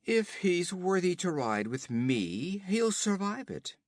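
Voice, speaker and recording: Guy doing a cocky outlaw boss, loud and higher than his own voice, bossy, barking orders. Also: sing-song, slow pace, no room sound, clean studio sound, heavily acted. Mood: swaggering. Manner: boastful, commanding. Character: hard, arrogant male outlaw chief.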